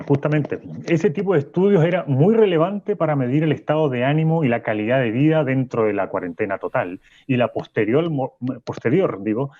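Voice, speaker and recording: A man's voice: mid-range voice, dark tone, lo-fi sound, natural voice. A man playing a professor guest who stumbles and corrects himself. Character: male professor, opinionated guest. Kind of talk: radio drama acting an interview.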